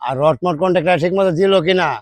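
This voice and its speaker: A man's voice: sits high, steady tone, rapid-fire, no echo, close to an everyday voice. A man acting a shrewd, commanding village moneylender in a drama, with a rural Bangladeshi accent. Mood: bossy. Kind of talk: naturalistic Bengali TV drama acting. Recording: music behind the dialogue.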